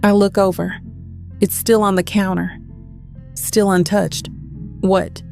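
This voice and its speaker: Lady playing a shaken grieving girl telling her story in an audio drama, halting and slow, some music behind. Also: mid-range voice, flat delivery, gravelly, warm tone. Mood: tearful grief. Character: grieving, trembling young woman narrating her heartbreak. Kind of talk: first-person audio drama narration.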